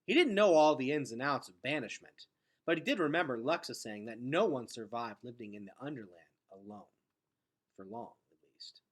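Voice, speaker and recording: A man reading a story aloud in a dramatic, emphatic and expressive narrating style. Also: mid-range voice. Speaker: story reader.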